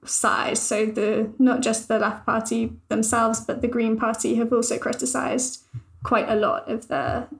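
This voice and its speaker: A young adult woman, a journalist on a news podcast, explaining things in a measured, thoughtful way. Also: a little high, fairly even intonation, vocal fry. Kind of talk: podcast discussion.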